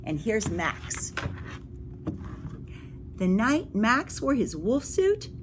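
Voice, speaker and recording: Animated, high-voiced woman reading to children. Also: noisy background. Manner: expressive, dropping into whispers for suspense; pausing to ask the listening children questions. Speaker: school librarian or teacher reading to children. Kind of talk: animated picture-book read-aloud for young children.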